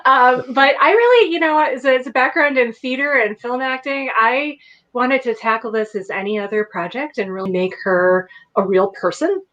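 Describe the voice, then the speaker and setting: A woman's voice: high voice, moderate pace, a bit bright. Thoughtful woman on slightly muffled audio. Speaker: podcast guest, actress. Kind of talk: casual conversational interview.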